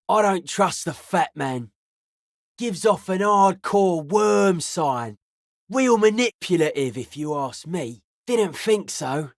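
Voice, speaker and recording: A man's voice: sits high, no echo, studio quality, heavily acted. A man voicing a wary, blunt young raver and tech tinkerer in a video game, slangy, clipped and wry. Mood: suspicious, wry. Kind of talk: video game character dialogue.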